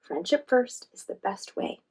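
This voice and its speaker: A woman's voice: medium pitch, big pitch swings, measured pace, a little mellow, husky, heavily acted. A woman voicing a kind, encouraging female friend who leads the group in a children's storybook.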